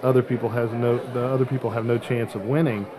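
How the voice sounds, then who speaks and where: Halting male podcast host, busy background. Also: mid-range voice, level tone, a crowd in the background, poor audio. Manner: halting, wordy and precise in explaining. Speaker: host of a hobby game podcast. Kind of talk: casual chat, game review.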